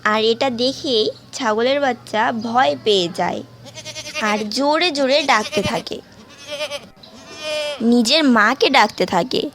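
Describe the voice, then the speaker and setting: A woman's voice: on the high side, slow pace, long pauses, a bit bright, slight background noise. A woman narrating a children's animal story in a scripted storybook style.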